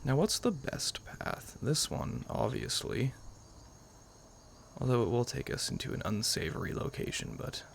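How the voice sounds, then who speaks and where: Young adult American male gaming host, creaky mid-range voice, loose conversational rambling, slight background noise. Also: medium pitch, sing-song, a little slow. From New York, United States. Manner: rambling, self-qualifying. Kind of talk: casual let's-play commentary.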